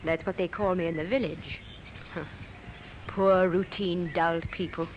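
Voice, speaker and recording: A woman's voice: on the low side, fairly even intonation, measured pace, close to an everyday voice. An actress playing a defiant, reclusive young woman artist in a 1950s radio drama. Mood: guarded. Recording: muffled, noisy old 1950s radio recording.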